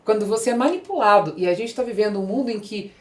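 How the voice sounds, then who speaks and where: A woman's voice: medium pitch, animated, fast talker. A Brazilian woman hosting a conversational podcast interview, speaking emphatically and repeating phrases for effect. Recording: echoey room.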